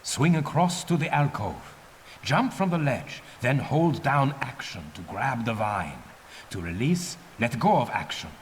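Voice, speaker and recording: An actor playing a cocky older adventurer who mentors a young pupil in a video game, with a theatrical, goading, instructive delivery. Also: male voice, medium pitch, very animated, unhurried, slight background noise. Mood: instructing. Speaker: mentor guiding a pupil through training.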